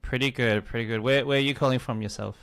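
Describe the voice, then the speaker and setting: A man's voice: medium pitch, steady tone, fast, creaky. A man hosting a podcast, reading the guest's introduction and then chatting upbeat with him. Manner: upbeat, warm praise of guest. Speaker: podcast host. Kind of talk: scripted intro, then casual interview.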